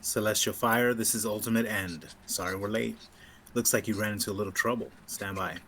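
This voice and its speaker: A man reading a composed, persuasive rebel officer with a husky voice in a sci-fi table read. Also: on the low side, steady tone, a little fast, fairly bright tone, slight background noise. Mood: brisk.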